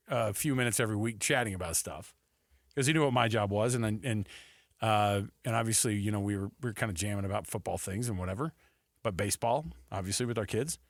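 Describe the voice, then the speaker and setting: A man's voice: medium pitch, fairly bright tone, no room sound. A man hosting a sports radio show, talking casually and repeating phrases for emphasis into a close studio microphone. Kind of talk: casual sports talk commentary.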